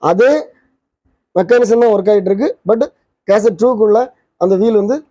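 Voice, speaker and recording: A man's voice: high voice, steady tone, dark tone, dry recording. A man hosting his channel, casually demonstrating a vintage twin-cassette tape recorder and pointing out its parts. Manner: quick, pointing out parts as he goes. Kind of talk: casual product demo. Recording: dry, dull lo-fi sound.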